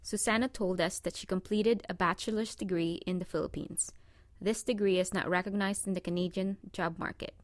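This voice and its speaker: A woman narrating a scripted presentation in a measured, read-aloud manner. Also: medium pitch, fairly even intonation, dry recording, studio quality.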